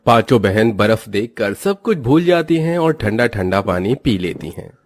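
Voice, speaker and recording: A man's voice: lowish voice, sing-song, normal speed, no echo, studio quality, soft background music. A man giving scripted narration as the storyteller of a Hindi cartoon tale.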